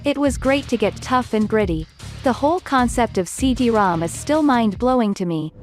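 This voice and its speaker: A woman's voice: on the high side, lively intonation, normal speed, soft background music. A woman reading out a written quote as an insert in a narrated video.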